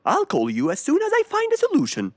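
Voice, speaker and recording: A woman's voice: high-pitched, wildly swooping pitch, fast talker, a little mellow. A woman voicing a kind, helpful male fox in a children's cartoon, with an exaggerated, reassuring, sing-song delivery. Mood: concerned.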